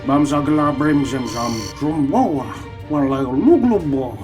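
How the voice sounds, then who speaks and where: A man's voice: a little high, lively intonation, takes it slowly. A man playing an alien companion in a science-fiction audio drama, speaking in terse invented syllables and grunts. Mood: terse, grave. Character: alien male companion babbling in an invented tongue. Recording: music under the voice.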